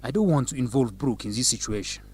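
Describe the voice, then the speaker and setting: An actor playing a smooth, evasive male student politician in an educational radio drama, speaking in a measured, insistent, diplomatic way. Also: medium pitch, lively intonation, darkish tone. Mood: smoothly insistent.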